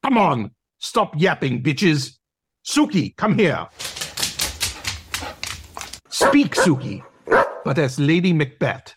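A man's voice: a little high, sing-song, slow pace, pauses often, noisy background. A man playing a domineering, mocking dog who teaches a masterclass in an audio play, brusque and commanding, sprinkling in German words. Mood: bossy, mocking.

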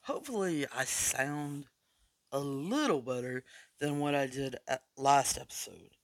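A man's voice: medium pitch, animated, creaky, no room sound. A man hosting a sports betting podcast in a casual, chatty way while recovering from losing his voice. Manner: casual, chatty, deliberate picks. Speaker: podcast host.

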